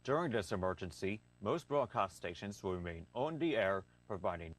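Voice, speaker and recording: A man reading a formal, scripted emergency announcement as a broadcast announcer, in a measured and impersonal manner. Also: lowish voice, expressive, poor audio.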